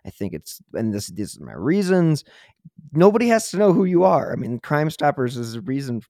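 A man hosts a casual true-crime podcast in a very dry, close recording. His voice sits at an average male pitch. He talks emphatically and can turn drily sarcastic. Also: big pitch swings, normal speed, a little mellow, no room sound. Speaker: podcast host.

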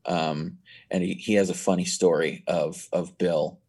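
A man's voice: sits low, level tone, unhurried, creaky, darkish tone, slightly rough. A nineteen-year-old man chatting as a podcast guest, telling stories in a rambling way with many restarts. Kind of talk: casual chat.

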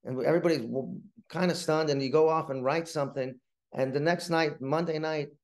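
A middle-aged man, a playwright being interviewed, tells stories conversationally in a halting, rambling way, often repeating words. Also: a little high, fairly even intonation.

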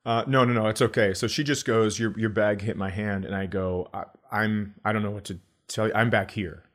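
A man's voice: lowish voice, fairly even intonation, brisk. A middle-aged American man hosting a comedy podcast, telling casual, profane stories and acting out the dialogue. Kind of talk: casual comic storytelling.

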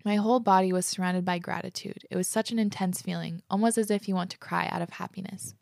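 A woman's voice: mid-range voice, steady tone, fast talker, creaky, a little mellow, dry recording, clean studio sound. A young woman hosting a podcast, reading listener stories aloud and reacting to them casually, with frequent "like" fillers and the odd swear word. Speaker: podcast host.

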